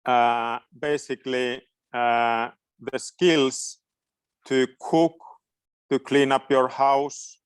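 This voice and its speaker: A man's voice: medium pitch, little pitch movement, extremely slow, smooth, dry recording. A middle-aged man from Finland, a native Finnish speaker, answering questions informally and hesitantly as he searches for words. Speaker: guest education expert.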